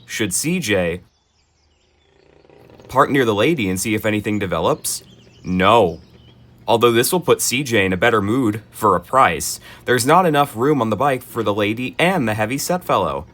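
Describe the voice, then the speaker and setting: A man narrating in a gentle, expressive children's storybook style, with dry asides. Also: fairly low pitch, moderate pace.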